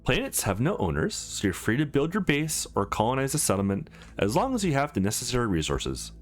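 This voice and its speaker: A man's voice: mid-range voice, wildly swooping pitch, a little fast. A man voicing an upbeat female company receptionist in a game, with polished, formal sales patter. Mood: informative. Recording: music behind the voice.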